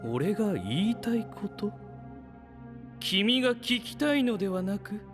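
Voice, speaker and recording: A man's voice: high voice, very animated, unhurried, soft background music. A man playing a confident, theatrical young scientist in an anime-style voice drama, speaking in grand, self-assured declarations. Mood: composed, confident.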